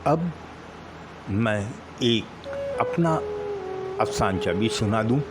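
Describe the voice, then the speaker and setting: A middle-aged man reads a short story aloud as its narrator. His pace is slow and deliberate, with pauses between phrases and a very lively rise and fall. Music plays clearly behind him. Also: lowish voice. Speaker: storyteller reading own short stories.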